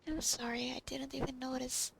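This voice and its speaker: A woman's voice: high-pitched, fairly even intonation, moderate pace, gravelly, fairly bright tone, husky. A woman gamer giving casual gameplay commentary, muttering to herself in fragments that trail off. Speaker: gamer playing and reacting. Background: video game music.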